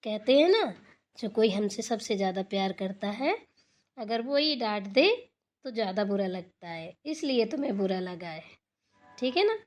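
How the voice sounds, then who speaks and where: A woman voicing a caring, middle-aged village mother-in-law in a family cartoon, coaxing, animated and motherly. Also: mid-range voice, normal speed, lightly acted. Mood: coaxing, reassuring.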